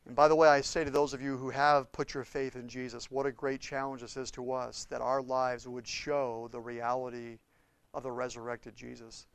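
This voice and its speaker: A man preaching a sermon with impassioned swings between loud and hushed delivery. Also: medium pitch, flat delivery, moderate pace, clean tone, no echo. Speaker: pastor.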